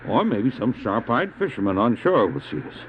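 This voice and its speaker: A man's voice: mid-range voice, very animated, lo-fi sound, slight background noise, lightly acted. A man acting a stranded, devout doctor and dog sledder in an audio drama, earnest and theatrical, anguished but resolute, on an old cassette recording. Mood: worried. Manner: earnest, theatrical, halting when speaking in character. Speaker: narrator and lead actor. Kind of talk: dramatized first-person adventure narration, audio drama.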